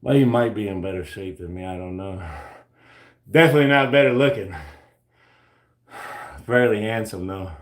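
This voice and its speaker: A man's voice: on the low side, normal speed, long pauses. A man in his forties chatting casually and joking about himself between sets of weightlifting in his home gym. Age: 40s. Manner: joking, self-deprecating banter between sets. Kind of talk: casual chat while lifting weights. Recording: roomy echo.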